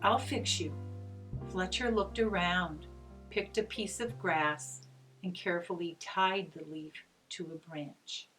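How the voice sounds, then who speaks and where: A woman reading a children's story aloud, with expressive, gentle and deliberate narration. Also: on the low side. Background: guitar music.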